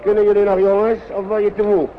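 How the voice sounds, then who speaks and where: An actor playing a kindly, patient uncle coaxing small children along in a naturalistic radio drama, often repeating himself. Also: male voice, high-pitched, completely flat delivery, fast, natural voice. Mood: caring, encouraging. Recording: dull old radio recording, loud noise.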